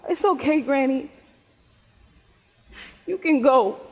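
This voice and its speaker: A woman playing a grieving, vulnerable young student devoted to her grandmother, in a stage play, with a husky voice. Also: sits high, lively intonation, slow pace, full character voice. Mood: grieving, tender. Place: theater stage in a reverberant hall. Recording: muffled echoey stage hall recording.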